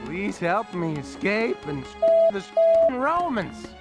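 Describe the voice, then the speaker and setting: A man's voice: high-pitched, very animated, slow, exaggerated voice. A man voicing an angry, foul-mouthed captive rebel chicken in a cartoon game. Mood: angry, desperate. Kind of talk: cartoon video game acting. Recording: loud music behind.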